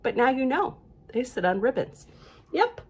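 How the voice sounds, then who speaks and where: A woman's voice: sits high, sing-song, normal speed, breathy edge. A woman reading a picture book aloud at storytime, adding chatty asides and asking her young listeners questions. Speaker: library storytime reader.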